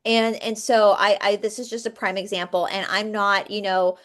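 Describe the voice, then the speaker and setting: A woman's voice: mid-range voice, fairly even intonation, moderate pace. A woman co-hosting a casual chat, talking passionately and rambling on with restarts. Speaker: co-host.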